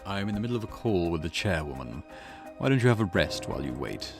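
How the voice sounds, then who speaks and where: A man plays a calculating male captor from a visual novel in a low voice. He is calm, formal and measured, with a polite menace. Music sits clearly behind him. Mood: businesslike. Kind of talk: visual novel character reading.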